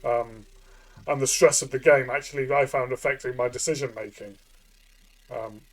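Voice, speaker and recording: A man co-hosting a casual game review chat, thinking aloud in a halting way and repeating phrases. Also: mid-range voice, steady tone, rough recording.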